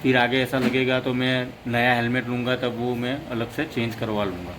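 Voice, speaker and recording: A man talks through a gadget demo in a flat, even tone, rambling from point to point. His voice is mid-pitched and sits over faint background ambience. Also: moderate pace, background noise. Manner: rambling, repeats "plus" between points. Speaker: motorcycle vlogger. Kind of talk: casual product demo.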